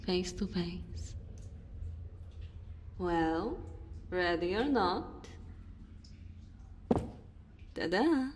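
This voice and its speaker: A woman voicing a dominant, teasing female dragon in an ASMR roleplay, speaking slowly and theatrically to the listener. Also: fairly low pitch, lively intonation. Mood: playful, smug. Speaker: ASMR voice performer. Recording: faint cave ambience noise. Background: cave and fire sound effects.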